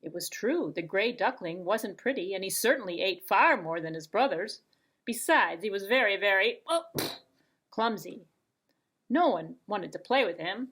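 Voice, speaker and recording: A woman narrating a children's storybook puppet show in an expressive, deliberate way with playful sound words. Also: mid-range voice, husky.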